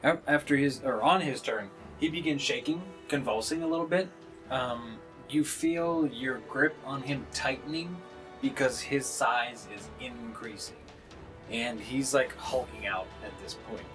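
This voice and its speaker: Male game master talking over clear music. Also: mid-range voice, unhurried. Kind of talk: narrating a tabletop game, conversational.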